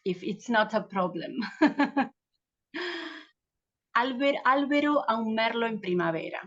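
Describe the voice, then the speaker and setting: A woman poet whose first language is Spanish, reading her poems and talking haltingly over a phone, with frequent restarts. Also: medium pitch, highs cut off. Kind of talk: poetry reading and round-table chat.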